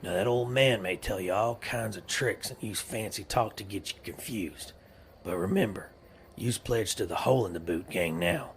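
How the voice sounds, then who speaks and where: An actor playing a scheming, menacing western gang boss with a gravelly voice and a rural American drawl, commanding and slyly coaxing, in an audio drama. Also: male voice, fairly low pitch, moderate pace, slight background noise, lightly acted.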